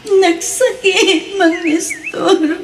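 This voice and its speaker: A woman's voice: very high-pitched, extremely slow, exaggerated voice. An actress with an Ilocano accent playing a sorrowful young wife and mother in a radio drama. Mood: sobbing.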